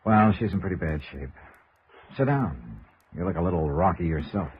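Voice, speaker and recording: A man's voice: deep voice, animated, moderate pace, slightly rough, exaggerated voice. A male actor playing a cool, hard-boiled insurance investigator in a 1950s radio noir, clipped and matter-of-fact. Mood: calm, probing. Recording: dull vintage radio recording, music behind.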